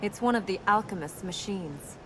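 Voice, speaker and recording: An actress playing a serious, guarded young princess in a video game, explaining earnestly and answering with dry wit. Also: female voice, medium pitch, lively intonation, normal speed, heavy background noise, natural voice.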